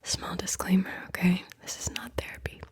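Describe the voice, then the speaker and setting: A woman whispering a playful guided visualization as an ASMR host, close to the mic. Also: brisk.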